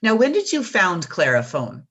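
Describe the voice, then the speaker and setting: A woman's voice: lowish voice, wildly swooping pitch, moderate pace, fairly bright tone, breathy edge. A Canadian woman hosting an interview, upbeat and enthusiastic as she asks her questions. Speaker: podcast host.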